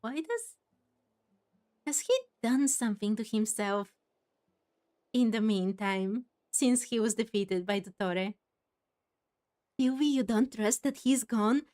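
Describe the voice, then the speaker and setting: A woman's voice: on the high side, takes it slowly, pauses often, dark tone. A woman hosting a casual game playthrough, chatting and reacting expressively as she goes. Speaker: gaming video host.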